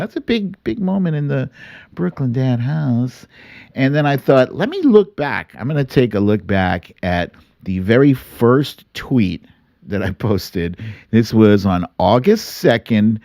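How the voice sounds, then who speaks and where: Man, middle-aged, slow relaxed pacing, dry close sound, chatty earnest livestream host. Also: medium pitch, no echo. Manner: chatty, earnest, chuckles and quotes himself animatedly. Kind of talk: casual livestream chat to followers, telling stories and reading comments.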